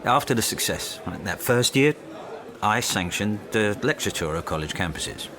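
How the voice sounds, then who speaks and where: An actor playing a stern, measured university boss in a radio drama, reproaching a colleague in a deliberate, admonishing way. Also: male voice, fairly low pitch, expressive, crowd noise, close to an everyday voice. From Essex, England. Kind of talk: naturalistic radio drama acting.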